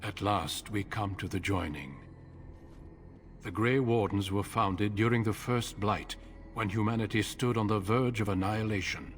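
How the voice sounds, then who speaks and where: An actor voicing a grave older male warden commander in a video game, speaking in a solemn, measured way. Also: fairly low pitch, soft background music, lightly acted.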